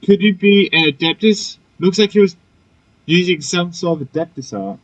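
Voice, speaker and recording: A man's voice: high-pitched, moderate pace, dull audio, a little hiss or hum. A man giving casual, offhand gameplay commentary, muttering asides and reading out dialogue choices as he plays. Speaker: gamer, let's player.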